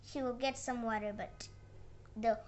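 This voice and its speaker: A child narrating a moral fairy tale with halting, uneven phrasing and dramatic emphasis on the dialogue. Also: medium pitch, steady tone. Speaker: storyteller.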